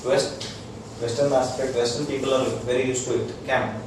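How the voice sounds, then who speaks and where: A man's voice: mid-range voice, level tone, moderate pace. Indian-accented man lecturing in echoey room. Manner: explains in short repeated phrases, checks that listeners follow. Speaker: doctor teaching integrative medicine. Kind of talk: informal lecture to a small group. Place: room with a small audience. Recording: echoey room, distant mic, noisy.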